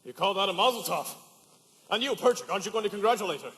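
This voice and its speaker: Guy doing a wry old papa on stage, highish voice that jumps around, theatrical, big echoey hall. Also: expressive, quick pace, a bit bright. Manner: theatrical, proverb-quoting, sarcastic. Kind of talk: stage musical acting, projected. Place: high school theater stage.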